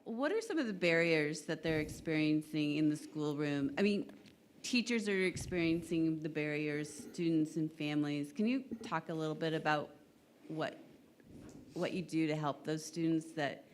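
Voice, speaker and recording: A woman in the audience of a meeting asks a question; she talks freely and says she has a loud voice. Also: low voice, moderate pace, a little hiss or hum. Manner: talkative, loud-voiced by her own account. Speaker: audience member asking a question. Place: meeting room.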